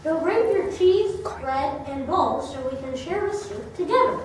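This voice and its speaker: A woman's voice: very high-pitched, lively intonation, measured pace. A woman playing a wily, polite, hungry traveler in amateur reader's theater, reading from a script with stumbles. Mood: wistful, coaxing. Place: large echoey room, recorded from afar. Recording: echoey room, distant mic, background noise.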